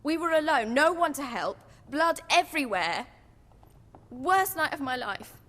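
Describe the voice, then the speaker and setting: A woman's voice: sits high, animated, measured pace, pushed and bright, in a large hall, full character voice. An actress with a British accent playing a bitter, defiant young woman in a dramatic video game. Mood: shaken, distressed.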